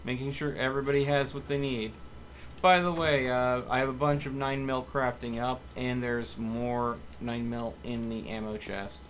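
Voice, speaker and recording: A man's voice: medium pitch, steady tone, normal speed, a bit bright. Male game streamer, faint background noise. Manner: playful, joking asides. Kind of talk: casual gaming livestream chat.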